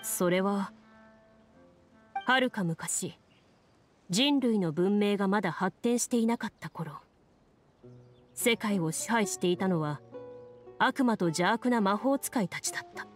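A composed young woman game master played by an actress in an anime game. Her delivery is calm and formal, with deliberate explanations and storytelling at a middle pitch, over music. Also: lightly acted. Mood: solemn storytelling. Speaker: game master narrating a tabletop game. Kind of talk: anime video game acting, storytelling narration. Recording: music under the voice.

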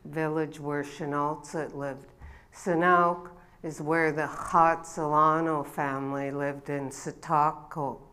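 A woman in her sixties, an elder giving a welcome address on a stage, telling her family's history in an unhurried way with a body-worn mic. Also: deep voice, a bit bright. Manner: slow, unhurried storytelling with rising ceremonial calls. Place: dark event stage before an audience.

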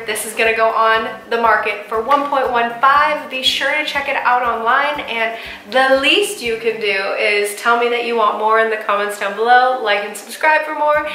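A woman's voice: on the high side, fairly even intonation, normal speed, bright, forceful tone, a little hiss or hum, low-quality recording. An American woman in her thirties, a real estate agent, chatting enthusiastically to camera in a casual house-tour style. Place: inside a furnished townhouse.

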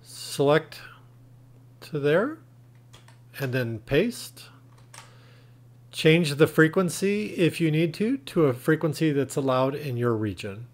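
Higher-pitched man explaining tech. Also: expressive, slow pace, long pauses. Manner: plain, instructional, step-by-step. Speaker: tutorial presenter. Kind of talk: explanatory tech tutorial narration.

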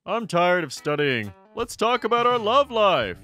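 A woman voicing a nosy, playful teenage school friend in a manga dub, teasing and expressive. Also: medium pitch, unhurried, faint music behind.

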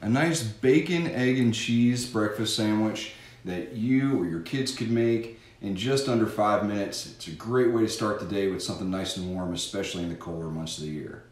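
A man's voice: on the low side, normal speed. A middle-aged man gives a folksy, instructive cooking demonstration with safety tips, speaking to kids and parents in a home kitchen. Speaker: fire safety educator. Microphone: camera mic from across the room. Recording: echoey kitchen, mic far off, audible noise.

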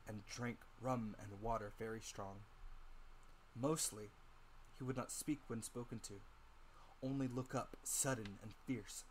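A man's voice: medium pitch, slight background noise, rough recording. A man narrating a classic adventure novel as a dramatic audiobook reading.

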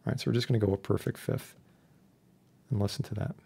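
A man instructing in an informal software tutorial, chatty and plain-spoken with a wry streak. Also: fairly low pitch, steady tone, fast, gravelly, breathy edge. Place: indoors, voice-over for a screen recording.

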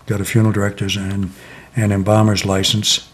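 Older man, husky voice, halting pace. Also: on the low side, little pitch movement. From Connecticut, USA. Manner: slow, reflective, many "uh" pauses. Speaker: interviewee. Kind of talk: oral history interview. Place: living room, seated in armchair.

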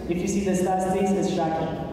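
A man moderating a church conference panel, introducing the next speaker through a handheld microphone in a measured way. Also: high-pitched, level tone, warm tone. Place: large gymnasium hall with a seated audience. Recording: echoey gym hall, camera far off, room noise.

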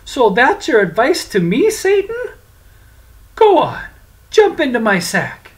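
A storyteller voicing a bold young man who mocks and commands the devil in a high, strained voice. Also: wildly swooping pitch, slow. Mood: commanding. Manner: mocking, commanding. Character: bold young man hero, shrill and defiant.

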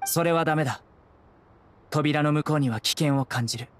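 A man's voice: fairly high pitch, a little fast, a bit bright, slight background noise, close to an everyday voice. An actor playing a stern, composed young male investigator in a Japanese game, with a grave, restrained delivery. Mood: firm. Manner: grave, restrained, matter-of-fact. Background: plucked-string background music.

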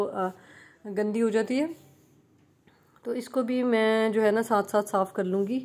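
A woman's voice: medium pitch, a little mellow. Young woman rambling about cleaning her kitchen, lots of fillers, easygoing narration. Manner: chatty, many fillers and restarts. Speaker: home cleaning vlogger. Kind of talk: casual voice-over narration. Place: home kitchen.

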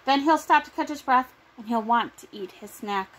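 A woman's voice: a little high, moderate pace, a bit bright, rough recording. A middle-aged woman reading a children's picture book aloud. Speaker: children's story reader.

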